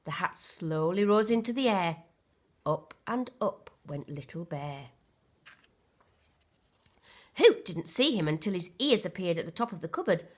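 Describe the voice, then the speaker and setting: A woman's voice: on the low side, sing-song, unhurried, long pauses. A woman reading a children's storybook aloud expressively, like a primary school teacher.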